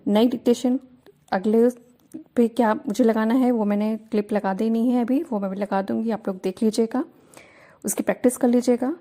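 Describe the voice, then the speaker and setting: A young woman with a fairly high but mellow, warm voice teaches shorthand. She reads in slow, deliberate word groups and speaks plainly and firmly to her students. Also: steady tone. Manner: measured, deliberate phrasing with pauses between word groups; firm, earnest, mildly aggrieved. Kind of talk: slow word-by-word dictation reading; casual direct address to students.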